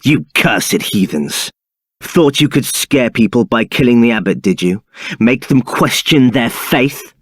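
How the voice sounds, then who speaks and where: A domineering templar captain, video game, haughty clipped sneer, animated pitch, dry close sound. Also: male voice, a little slow, no echo. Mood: interrogating, menacing. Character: arrogant, contemptuous male templar captain, cold and domineering. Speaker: game character voice.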